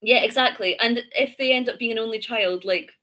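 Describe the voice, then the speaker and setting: A woman's voice: fairly high pitch, a little fast, bright, forceful tone, dull audio. Thirty-year-old woman with a mild Scottish accent. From Scottish-English border. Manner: chatty, laughs often, self-correcting. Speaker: host. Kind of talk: casual chat.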